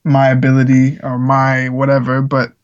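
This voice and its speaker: A man's voice: medium pitch, monotone, moderate pace, dull audio. A man who grew up in the Czech Republic, a musician guest talking earnestly in a casual interview, with lots of fillers. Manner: earnest, rambling with frequent fillers.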